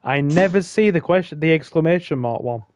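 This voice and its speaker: A man's voice: a little high, expressive, brisk, a little mellow, no room sound, dull audio. A man hosting a casual gaming stream, chatting with a friend in a rambling way with fillers. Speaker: streamer host.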